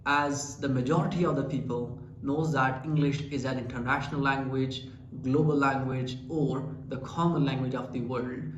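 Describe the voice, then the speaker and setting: A man's voice: medium pitch, steady tone, normal speed, lo-fi sound. Pakistani guy teaching english, earnest, keeps asking rhetorical questions, echoey room with a bit of hiss. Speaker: English teacher and channel founder. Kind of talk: motivational English lesson. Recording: echoey room with faint ambient noise.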